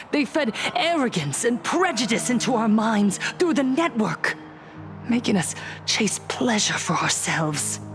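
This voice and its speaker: A fervent cult preacher speaks in a high voice with slow, measured weight. Her game-story sermon is solemn, zealous and rousing, with music playing behind her. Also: a bit bright, full character voice. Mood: solemn, reverent. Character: fervent female cult preacher promising happiness, smiling and zealous. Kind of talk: theatrical scripted sermon.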